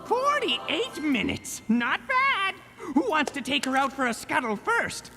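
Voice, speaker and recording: A woman's voice: high-pitched, big pitch swings, unhurried, bright, forceful tone, heavily acted. A woman voicing a mischievous evil-genius doctor in a cartoon, snide, dismissive and impatient. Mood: pleased. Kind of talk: cartoon voice acting. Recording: music behind the voice.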